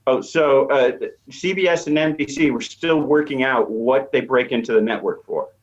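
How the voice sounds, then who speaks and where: A man's voice: medium pitch, normal speed. A man speaking as an expert guest, explaining conversationally and thinking aloud as he goes. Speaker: expert guest, media professor. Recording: slightly dull, lo-fi line.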